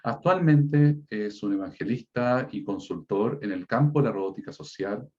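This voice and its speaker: A low-voiced man opening an online seminar with a formal, read-out introduction. His voice comes through a dull, lo-fi video call. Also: animated, normal speed, mellow. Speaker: seminar moderator. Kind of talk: reading a speaker introduction.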